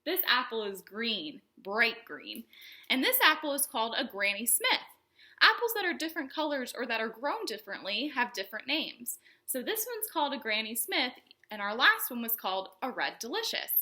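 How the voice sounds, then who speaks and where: A woman hosting a storytime for young children, teaching in simple words with an animated, sing-song manner and questions to her small viewers. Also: fairly high pitch, moderate pace. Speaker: children's librarian, storytime host.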